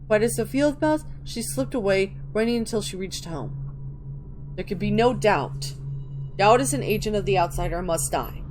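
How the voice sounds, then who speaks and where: A woman hosts a gaming video in a mid-pitched voice. She reads game books and chats about her play, with music playing behind her. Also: expressive, normal speed. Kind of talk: reading game books aloud and casual gameplay commentary.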